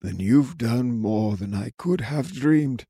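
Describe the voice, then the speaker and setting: A man reading an audiobook, voicing a dying elderly cobbler father with a cracked, weak voice and slow, halting speech. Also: medium pitch, expressive, mellow, husky, no echo, clean studio sound, pitched above the performer's own voice, heavily acted. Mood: tender. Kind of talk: audiobook character voice.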